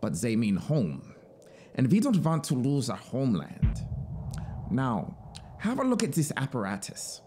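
A man's voice: medium pitch, huge pitch swings, measured pace, darkish tone, a little hiss or hum, voice pushed up. A man voicing an eager, talkative military officer in a dramatic storybook reading, insistent and explanatory. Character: eager, talkative male military officer, devoted to his machine. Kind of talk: dramatic audiobook character reading.

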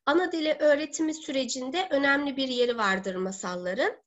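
High-pitched woman lecturing on a video call. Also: brisk, fairly bright tone. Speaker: teacher presenting a webinar. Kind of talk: online seminar lecture. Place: living room at home. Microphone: laptop or webcam mic on video call. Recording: dull lo-fi webcam call audio.

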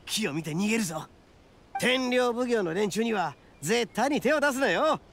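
A brash, loyal young oni boss from an anime-style game. His male voice is high, bright and forceful with a gravelly creak, blunt and cocky, over light music. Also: animated, moderate pace, slight background noise. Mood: determined.

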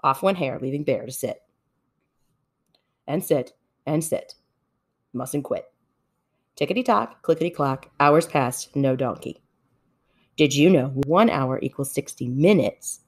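A woman reading a children's story aloud in an expressive narrating style. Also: a bass voice, takes it slowly, pauses often, husky. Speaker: storybook reader.

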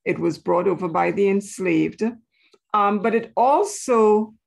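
Lady giving a chatty talk, unhurried, bit of fry in her voice. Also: fairly low pitch. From Trinidad and Tobago. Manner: chatty, informal asides to the audience. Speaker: presenter. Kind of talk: casual live presentation to a group.

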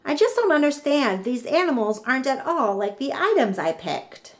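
A woman's voice: sits high, very animated, moderate pace, voice pushed up. A woman voicing an eager, determined young girl inventor in a storybook, exclaiming and thinking aloud. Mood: puzzled, then excited.

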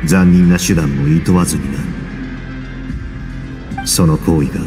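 A man playing a composed, hardened young man with a grim past in a game, speaking in a measured, matter-of-fact way. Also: extremely low pitch, fairly even intonation, over music. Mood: grim, restrained. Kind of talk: dramatic game dialogue, recounting his past.

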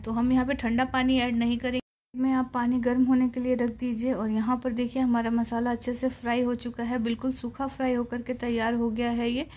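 Indian lady doing a recipe voiceover, kinda high even voice, noise in the background. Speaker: home cook presenting a recipe. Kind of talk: cooking tutorial voice-over.

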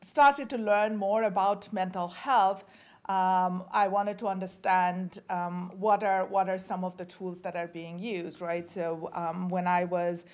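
A woman's voice: on the low side, steady tone, a little slow. A woman in her forties from Bangladesh giving an academic talk at a podium microphone, with frequent fillers and restarts. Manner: casual, many fillers and restarts. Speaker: guest lecturer. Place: lecture hall at a podium.

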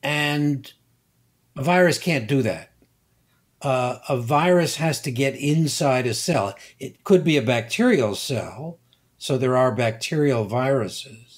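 An older man answering interview questions as a scientist, explaining in a measured, halting way with frequent pauses. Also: mid-range voice, expressive. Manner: measured, halting, many fillers. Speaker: guest scientist.

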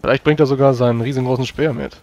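Fantasy tabletop adventurer man acting, well-connected and practical, brisk talker, courteous and formal. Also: medium pitch, fairly even intonation, darkish tone, lightly acted. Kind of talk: in-character tabletop roleplay.

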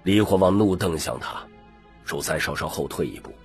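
A man's voice: low voice, moderate pace, husky, no room sound, faint music behind. A man reading dramatic scripted narration as an audiobook narrator.

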